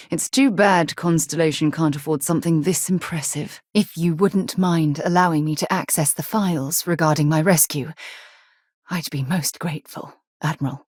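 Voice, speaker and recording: A woman playing an earnest, sharp-minded space explorer companion in a video game, speaking articulately and formally. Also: sits low, breathy edge, no room sound, clean studio sound. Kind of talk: scripted game dialogue acting.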